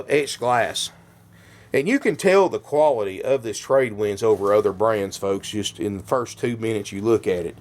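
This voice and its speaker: A man giving a folksy sales walkthrough of a motorhome while getting over a cold. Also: medium pitch. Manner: folksy, keeps saying "folks". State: has a cold. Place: inside a motorhome.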